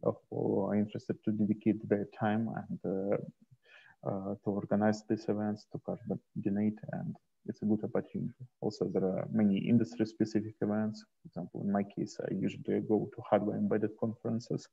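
A man presenting slides informally on a video call, speaking in a hesitant, halting way into a headset. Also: fairly low pitch, little pitch movement, vocal fry, mellow, slightly rough. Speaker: host and presenter of an online community onboarding session. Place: small indoor room, video call. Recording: dull, muffled headset call audio.

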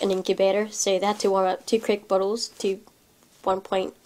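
Young woman speaking in a flat, level tone. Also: medium pitch. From Philippines. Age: 20s. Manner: hesitant, with many fillers. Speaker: interviewee. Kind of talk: documentary interview.

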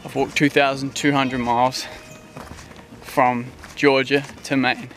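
A man's voice: mid-range voice, slow. A man in his twenties with a New Zealand accent, filming himself at arm's length on a forest trail and talking casually about his hike. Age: 20s. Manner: excited, breathless buzzing energy. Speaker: thru-hiker vlogger. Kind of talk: casual handheld trail vlog. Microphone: camera at arm's length. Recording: music playing underneath.

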